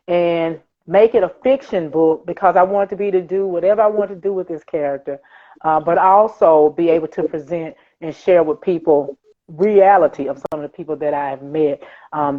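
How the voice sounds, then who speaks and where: A woman from Mississippi with a Southern US accent, a guest author explaining her work conversationally, earnest and emphatic. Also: lowish voice. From Mississippi, United States. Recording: thin phone-like online call audio.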